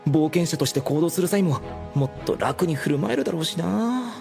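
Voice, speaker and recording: A man's voice: a little high, animated, fairly quick, creaky, over music. A man playing an ordinary young guy's wry, casual inner thoughts in an anime audio drama. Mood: wistful. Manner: casual, wry muttering to himself.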